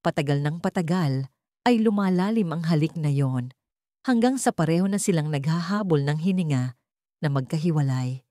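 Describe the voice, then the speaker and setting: A woman's voice: fairly low pitch, dark tone, no room sound, studio quality. A woman storyteller reading a scripted romance story as narration.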